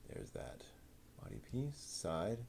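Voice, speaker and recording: A man's voice: low-pitched, huge pitch swings, slow, vocal fry, a little mellow, a little hiss or hum. A man thinking aloud and muttering to himself as he puts together a model kit, reading out part numbers in a casual running commentary. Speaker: show host.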